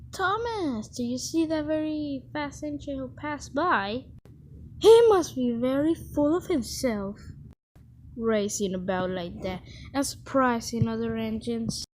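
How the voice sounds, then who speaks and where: A cheeky young tank engine speaks in a high, boyish voice at an unhurried, drawn-out pace. The character is playful and excitable, straight out of a children's cartoon, with faint noise behind the clips. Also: female voice. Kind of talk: animated children's series character acting.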